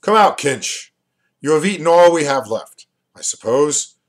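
A man's voice: a little high, lively intonation, unhurried, voice pushed up. A man reading a novel aloud as a brash, theatrical young man, bombastic and jeering. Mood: boisterous, mocking.

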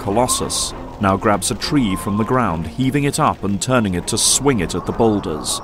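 Male narrator, low voice, scripted action-drama storytelling with music underneath. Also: lively intonation, normal speed. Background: music and effects.

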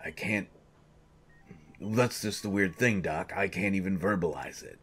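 A man playing a gruff, husky grumpy coach. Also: sits low, measured pace, fairly bright tone, full character voice. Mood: uneasy. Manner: grumbling, exasperated complaints. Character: grumpy male coach, irritable and defensive. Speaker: voice actor. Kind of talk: audio drama monologue.

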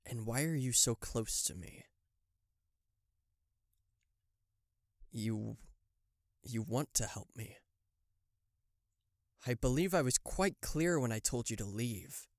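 Smug, possessive demon tech boss played by a man, theatrical and condescending, unhurried delivery, dry close sound, for roleplay audio. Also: medium pitch, dry recording, close to an everyday voice. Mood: subdued, vulnerable. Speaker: voice actor. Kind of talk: yandere ASMR roleplay addressed to the listener.

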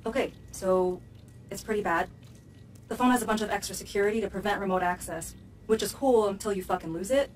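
A woman's voice: medium pitch, fairly quick, recorded from a distance, a little hiss or hum, close to an everyday voice, rough recording. A woman playing a young, sardonic American hacker in a video game, casual, techy and slangy. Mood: exasperated. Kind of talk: video game cutscene acting.

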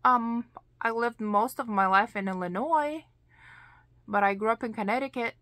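A woman in her thirties with a Lithuanian accent, chatting to camera in a slow, deliberate storytelling way, full of "you know" and "like.". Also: a little high, fairly bright tone, dry recording, clean studio sound. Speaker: vlogger. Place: small indoor craft room.

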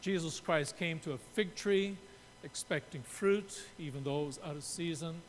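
A man in his sixties from Canada, preaching a sermon from a pulpit and explaining his points while gesturing. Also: normal speed. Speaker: pastor. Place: church sanctuary. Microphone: pulpit mic. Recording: reverberant church room, faint rumble.

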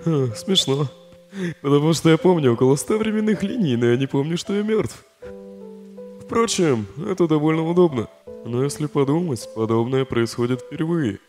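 A man's voice: a little high, expressive, moderate pace, warm tone, close to an everyday voice. A man voicing a weary, sardonic, protective skeleton in a comic dub, with a subdued, dry, sighing delivery. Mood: wry, puzzled. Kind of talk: dramatic fan comic dub. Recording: long hall reverb, faint music behind.

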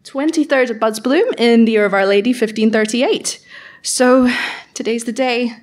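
An excitable young woman studying magic, played by an actress in an audio drama. Her voice sits fairly high, and she confides in her diary with nervous, earnest, gushing delivery. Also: normal speed, slight background noise, lightly acted. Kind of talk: audio drama diary monologue performed live.

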